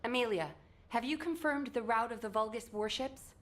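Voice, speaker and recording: A woman's voice: on the high side, normal speed, close to an everyday voice. An actress voicing a determined young female soldier in a game.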